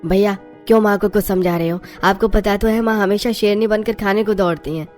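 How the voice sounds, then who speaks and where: A woman's voice: mid-range voice, brisk, a little mellow, faint music behind, voice pushed up, close to an everyday voice. Shivang: woman doing an earnest young brother-in-law. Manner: earnest, pleading with his mother. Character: young brother-in-law standing up for his sister-in-law.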